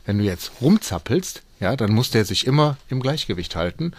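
A man's voice: mid-range voice, sing-song, moderate pace, a little mellow. A man, a falconer, explaining things and telling stories to children in spontaneous talk.

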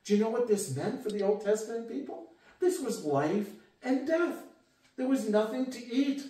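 A man's voice: very high voice, lively intonation, normal speed. An older man preaching an earnest sermon from his living room, recorded by a camera across the room. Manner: earnest, gesturing, rises for emphasis and drops quiet. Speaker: pastor. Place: home living room with guitars and a TV. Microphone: far camera. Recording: echoey room from far off.

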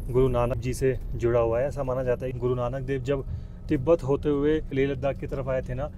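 A man's voice: mid-range voice, level tone, brisk. Young guy doing a travel vlog, chatty n into it, lots of engine and road noise behind him. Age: young adult. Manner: enthusiastic, conversational, addressing viewers. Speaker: travel vlogger. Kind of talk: casual vlog narration. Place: inside a moving city bus. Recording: loud vehicle and road noise.

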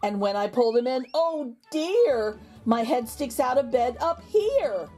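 Lady doing a kids book read aloud, super sing-songy and slow, music playing behind her. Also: high-pitched. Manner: expressive, exaggerated intonation with drawn-out words. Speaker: children's book reader. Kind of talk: animated picture-book read-aloud for young children. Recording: background music under the voice.

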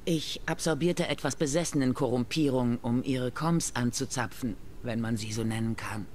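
A woman's voice: a bass voice, moderate pace, darkish tone. A hardened female soldier from a video game. She speaks in a clipped, dutiful military way, steely and resolute, over some background noise. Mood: formal, earnest. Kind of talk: dramatic video game dialogue.

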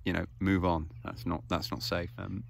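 A man in his thirties talks easily to his phone camera while standing outdoors on a grassy hill. His voice sits low and has a gravelly rasp. He explains step by step in a relaxed way, with plenty of ums and uhs. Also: normal speed. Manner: casual, explanatory, easygoing with fillers. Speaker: foraging channel host. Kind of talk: outdoor how-to vlog. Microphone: phone camera held close.

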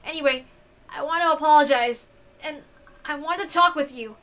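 A brash, excitable young master, acted by a woman in a visual novel. The voice is bright and high, with casual, emphatic, loud lines. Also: moderate pace. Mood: earnest.

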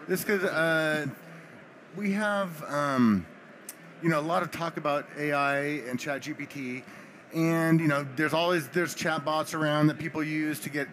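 Relaxed male host running a panel, fairly high voice, light background hiss. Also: a little slow. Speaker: panel moderator. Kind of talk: hosting a panel chat.

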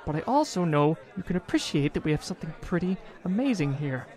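A man's voice: on the high side, sing-song, fast talker, darkish tone. An actor playing an earnest, idealistic man who preaches peace in an audio drama. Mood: reasoning. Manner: earnest, pleading. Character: earnest, idealistic male pacifist preacher from space.

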